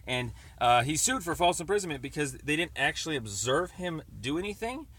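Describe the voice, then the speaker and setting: A man calling to make a complaint, explaining at length in a rambling, halting, stammering way over the phone. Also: on the high side, big pitch swings, a bit bright. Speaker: caller making a complaint. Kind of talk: phone conversation. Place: on a phone call.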